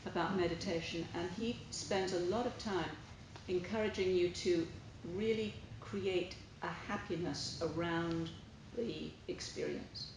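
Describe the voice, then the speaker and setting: A woman's voice: lowish voice, unhurried. A woman sharing personal experience as a participant in a group discussion. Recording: very echoey room, noisy, distant pickup.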